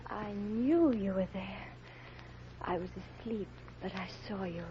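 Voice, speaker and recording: A woman's voice: medium pitch, unhurried, lightly acted. An actress playing a feverish, dying mother who pleads tremulously for her child in an old radio drama. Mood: weak, wistful. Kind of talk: 1930s radio drama acting. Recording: dull, noisy vintage radio recording.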